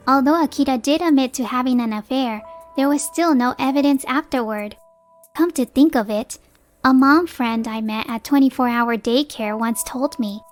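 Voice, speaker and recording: A woman's voice: sits high, natural voice. An actress playing a determined, exasperated young working wife and mother, narrating and speaking dialogue in a manga dub. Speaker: narrator and lead character.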